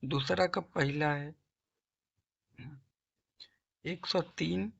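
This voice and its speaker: A hoarse man with a sore throat teaching a math lesson, coaxing students along and asking and answering his own questions. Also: fairly high pitch, slow, dull audio. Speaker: math tutor. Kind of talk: classroom-style math lesson.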